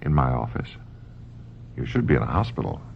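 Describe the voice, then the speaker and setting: An actor playing a calm, probing psychiatrist in a radio mystery, measured, kindly and wry. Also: male voice, extremely low pitch, gravelly, husky, heavily acted. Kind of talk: old-time radio mystery acting. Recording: dull lo-fi radio recording, faint noise.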